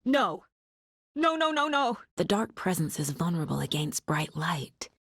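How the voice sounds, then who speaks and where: Casting: determined female federal investigator for a dramatic game, very husky, low register, close dry recording. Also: big pitch swings, measured pace, dry recording, exaggerated voice. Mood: distraught. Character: focused, determined female FBI investigator, troubled but dryly wry. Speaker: video game voice actress.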